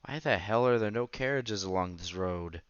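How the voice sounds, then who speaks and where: A man's voice: lowish voice, normal speed, clear, resonant tone, no echo, muffled recording. A man reading a visual novel aloud as narration, sometimes stumbling over words and restarting. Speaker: host of a visual novel read-through. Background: music.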